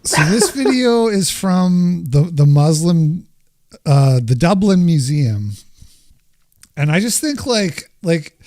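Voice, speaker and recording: A man's voice: on the high side, sing-song, slow pace, darkish tone, dry recording, clean studio sound. A man hosting a podcast, talking in an easygoing, hesitant way with many fillers. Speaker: podcast host. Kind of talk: casual chat.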